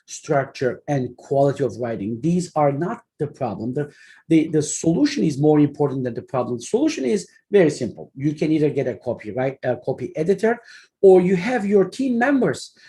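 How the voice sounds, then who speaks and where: A man in his forties, whose first language is Turkish, speaks English with a Turkish accent in a slightly high, mellow voice. He lectures earnestly as a journal editor in an online webinar, often pausing, restarting phrases and filling gaps with ums. The sound comes through a computer mic on a video call. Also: sing-song. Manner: earnest, halting explaining with many fillers and restarts.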